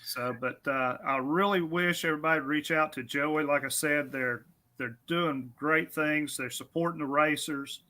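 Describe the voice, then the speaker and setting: A man's voice: a little high, moderate pace, bright, forceful tone. A man, a guest talking about his racetrack in an easygoing, self-deprecating, friendly way. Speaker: drag strip guest. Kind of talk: casual on-air interview.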